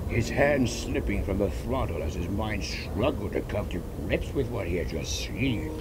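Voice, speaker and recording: A man's voice: animated, moderate pace. A man reading a story aloud as a dramatic scripted narration. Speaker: fan fiction narrator. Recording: loud noise and rumble behind the voice.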